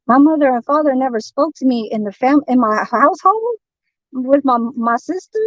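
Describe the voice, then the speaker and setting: High-pitched woman, profane confrontational rant, dry lo-fi stream audio. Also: animated, moderate pace, no echo. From San Francisco, United States. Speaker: livestreamer. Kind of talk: heated livestream rant.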